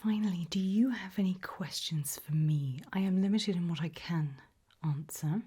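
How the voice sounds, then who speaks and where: A woman doing a whispered ASMR roleplay as a kindly, curious scientist, speaking gently and politely in a breathy whisper. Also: lowish voice, moderate pace. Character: kindly, curious research scientist interviewing an anomaly.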